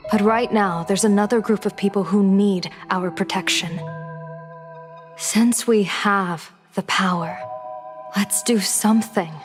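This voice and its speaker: A woman playing a composed, authoritative general in a video game, speaking in a measured, formal and firm way over music. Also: mid-range voice, close to an everyday voice. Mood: resolute. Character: composed, righteous female general, probing and authoritative. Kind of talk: scripted video game voice acting.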